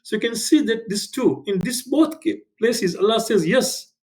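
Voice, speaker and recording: A man's voice: sits high, normal speed, darkish tone. A man from Tanzania, whose first language is not English, teaching an online class in a conversational way, often checking in with "right?" and correcting himself as he goes. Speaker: instructor. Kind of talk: online lecture. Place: home in Canada.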